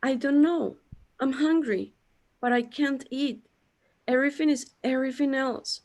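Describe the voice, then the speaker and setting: A woman's voice: high-pitched, steady tone, a little slow, darkish tone. A woman acting an anxious, bewildered character overwhelmed by existential dread in a sci-fi audio drama. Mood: panicked, faint.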